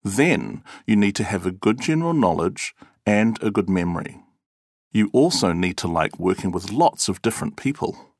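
A man reading an interviewee's answers aloud in a clear, measured and expressive way. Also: fairly low pitch, no echo, clean studio sound. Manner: clear, measured and expressive, acting out example words. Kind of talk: scripted read-aloud.

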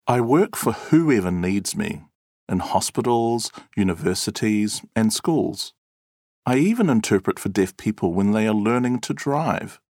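A man reading an interviewee's answers aloud in a clear, measured and expressive way. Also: on the low side, no room sound, clean studio sound. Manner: clear, measured and expressive, acting out example words. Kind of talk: scripted read-aloud.

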